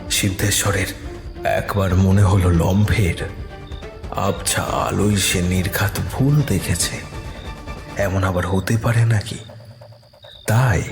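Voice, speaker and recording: A man narrating a scripted horror story dramatically, with suspenseful, deliberate pauses. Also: lowish voice.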